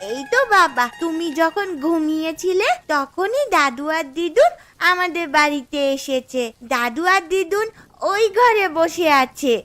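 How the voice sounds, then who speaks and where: A woman voicing an excited little girl in a Bengali moral-story cartoon, drawing out her calls. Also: very high-pitched, a little slow, no echo, heavily acted. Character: excited little girl, daughter and granddaughter of the house.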